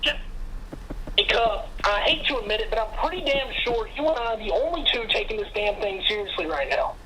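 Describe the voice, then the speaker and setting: A woman's voice: fairly high pitch, lively intonation, a little fast, noisy background. A woman playing a brash, paranoid conspiracy theorist in an audio drama, blunt and urgent, through a telephone filter. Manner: urgent, blunt, salty-tongued.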